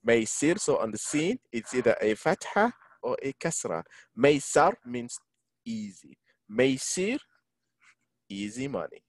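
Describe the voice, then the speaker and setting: A man teaching an online Quran lesson, engaging his listeners and reciting Arabic verses quickly. English is not his first language. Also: medium pitch, big pitch swings. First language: neither Arabic, English nor French. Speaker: imam teaching a Quran class. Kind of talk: online Quran lesson over a video call. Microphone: video call.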